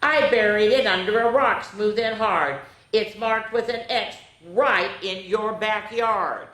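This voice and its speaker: A woman's voice: medium pitch, takes it slowly, a bit bright, pitched above the performer's own voice. A woman voicing a gleeful, teasing little male leprechaun from a storybook, in a singsong, taunting way. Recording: echoey, roomy sound.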